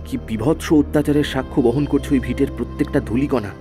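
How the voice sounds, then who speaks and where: An actor playing a doubtful, troubled young man in a horror audio drama, speaking gravely and hesitantly. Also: mid-range voice, fast talker, close to an everyday voice. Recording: clear music bed behind voice.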